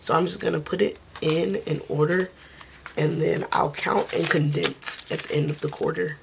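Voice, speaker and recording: A woman who is losing her voice speaks softly and casually, counting money aloud in a budgeting vlog. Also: steady tone, normal speed, creaky, noisy background. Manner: soft, low-key, counting under her breath. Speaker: budgeting vlogger.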